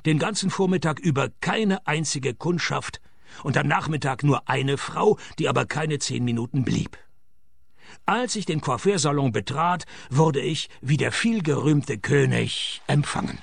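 Wry male private eye, fairly high gravelly voice, sardonic radio play delivery. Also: unhurried, dry recording, heavily acted. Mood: dry narrating. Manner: deadpan, sardonic. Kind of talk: radio play dialogue and first-person narration.

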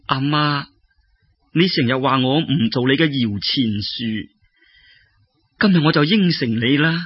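Man voicing a strong-willed, husky teenage girl. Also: medium pitch, measured pace, no echo, dull audio. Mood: resolute.

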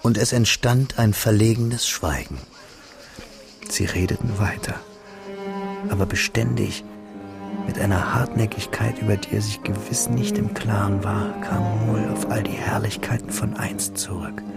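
A man recalling the past as a literary narrator. His voice is fairly low and full of creak, with a restrained, melancholic way of telling. Loud instrumental music plays behind him. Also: fairly even intonation, measured pace. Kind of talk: literary scripted narration in radio drama.

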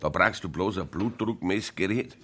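An actor playing a smug, suspicious Bavarian pub know-it-all in a radio crime play, explaining things knowingly. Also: male voice, low voice, normal speed, a bit bright, slightly rough.

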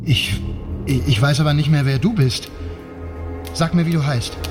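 An actor playing a courteous, uneasy gentleman in a radio play who speaks hesitantly to a child. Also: fairly high pitch, moderate pace, music in the background, lightly acted. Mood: puzzled. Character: uneasy older gentleman named Milton. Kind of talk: radio drama acting.

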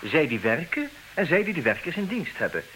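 An actor playing a suave, condescending, idle wealthy bachelor in a comedic radio play, with theatrical mock politeness. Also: male voice, fairly high pitch, very animated, fairly quick, sharp tone, poor audio. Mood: smug. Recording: hissy old radio drama recording.

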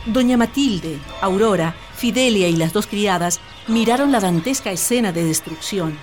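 A woman's voice: mid-range voice, expressive, normal speed, a little mellow, soft background music. A woman reading scripted, dramatic narration for a radio play.

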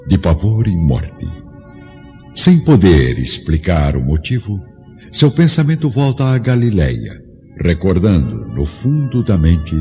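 A man's voice: low-pitched, warm tone. A man narrating a radio play in a solemn, dramatic way. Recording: dull audio with long reverb and music.

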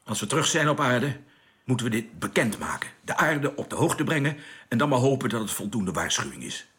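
An actor plays a male spaceship commander in a radio drama. His mid-pitched voice moves with lively inflection. He is decisive and dry, reasoning with measured assurance. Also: lightly acted. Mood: grave, reasoning. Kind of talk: radio play acting.

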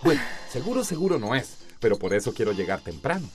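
An actor playing a cocky, self-justifying middle-aged husband in a radio soap, with a Costa Rican accent. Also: male voice, mid-range voice, big pitch swings, quick pace, over music, natural voice. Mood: upbeat, boastful.

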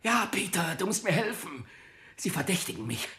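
A man's voice: sits high, very animated, moderate pace, bright, forceful tone, full character voice. An actor playing a frightened male prisoner in a radio drama, imploring with a tearful, breaking voice. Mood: desperate, pleading. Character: desperate, pleading male prisoner, frightened family man. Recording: echoey interrogation room sound.

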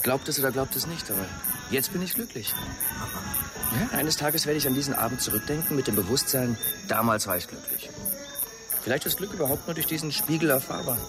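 Expressive bon vivant for radio drama, a man, mid-range, swinging intonation, sentimental and teasing. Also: mid-range voice, lightly acted.

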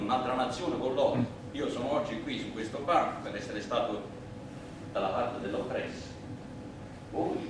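Worker on trial, played by an actor, very echoey. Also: male voice, medium pitch, lightly acted. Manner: simple, emphatic, deliberate working-class oratory. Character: plain-spoken, indignant immigrant worker anarchist on trial. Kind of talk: theatrical courtroom monologue. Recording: echoey, distant stage recording, clear noise.

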